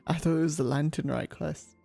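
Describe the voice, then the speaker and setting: A streamer giving casual gameplay commentary in a husky voice. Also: medium pitch, warm tone.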